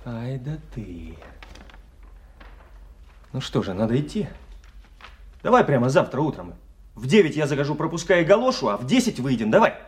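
Male actor, keen scientist character, radio drama dialogue, mid-range voice, highly animated melody, brisk informal persuasive delivery. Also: lightly acted. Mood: eager. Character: keen, trusting male scientist, eager expedition leader.

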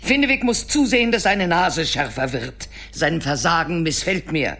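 A woman's voice: lowish voice, expressive, normal speed. An actress playing an imperious, scheming witch queen in a radio play, commanding and silkily menacing. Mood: stern. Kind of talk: German radio play acting. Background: music.

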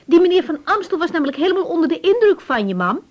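An actress playing a brisk, caring young doctor in a radio drama, direct and reassuring as she asks her questions. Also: very high-pitched, sing-song. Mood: coaxing, familiar. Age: young adult. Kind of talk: naturalistic radio drama acting. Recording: dull, band-limited radio recording.

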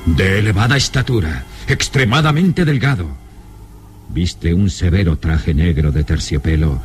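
A man narrating a gothic adventure radio serial in a solemn, suspenseful manner with deliberate phrasing. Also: deep voice, slight background noise. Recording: old radio serial with dramatic music. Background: dramatic music.

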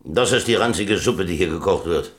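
A man's voice: low voice, normal speed, a bit bright. A man playing a defiant, streetwise German prisoner in a radio play, with a dry, blunt way of talking. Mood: dry, controlled. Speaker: actor in a radio drama.

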